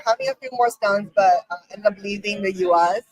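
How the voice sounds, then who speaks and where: A woman's voice: mid-range voice, a little fast, soft background music, low-quality recording. A woman in her thirties, from China, co-hosting a casual podcast chat, talking freely with many ums and likes. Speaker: podcast co-host.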